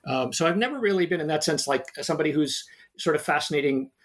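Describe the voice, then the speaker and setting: A man's voice: a little high, expressive, quick pace, fairly bright tone. A middle-aged man with an American accent answers interview questions as a history professor, talking in a hesitant way with many fillers and restarts. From United States (New Jersey). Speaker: history professor, podcast guest.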